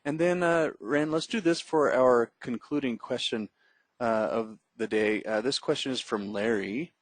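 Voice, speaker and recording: A man's voice: mid-range voice, unhurried, no room sound. A man hosting an online webinar, reading out viewer questions and wrapping up the session. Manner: reads out viewer questions, friendly sign-off lines. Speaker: webinar host.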